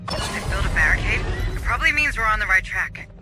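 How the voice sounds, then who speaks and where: A woman's voice: medium pitch, animated, a little fast, lightly acted. Female colony administrator for a sci-fi game, played by an actress: focused, businesslike, giving squad directions over music. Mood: focused, directive. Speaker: mission coordinator. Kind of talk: video game dramatic dialogue. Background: background music.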